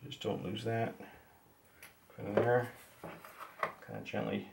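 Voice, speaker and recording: A man casually demonstrating how to open and modify a radio at his workbench, talking slowly and haltingly to himself as he works. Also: lowish voice, steady tone, vocal fry, very noisy. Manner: slow, halting, talks to himself while working. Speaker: hobbyist showing a radio modification. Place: indoors at a wooden workbench.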